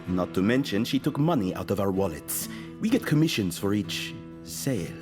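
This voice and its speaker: A wry, guarded male salesman in an audio drama, bitter and insinuating. His lowish voice moves expressively, with music clearly underneath. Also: very animated, normal speed. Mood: pointed. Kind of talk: scripted audio drama acting.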